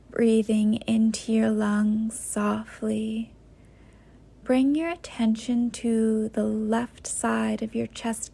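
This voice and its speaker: A woman guiding a yoga nidra meditation in a soft, breathy, half-whispered voice, gentle and unhurried with long pauses. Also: on the high side. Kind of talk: guided meditation, slow soothing instruction.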